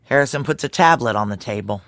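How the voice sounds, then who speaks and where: A man's voice: mid-range voice, lively intonation, fast, gravelly, fairly bright tone, dry recording. A man playing a wry, world-weary narrator in a noir audio drama, confiding in a dry, sardonic manner.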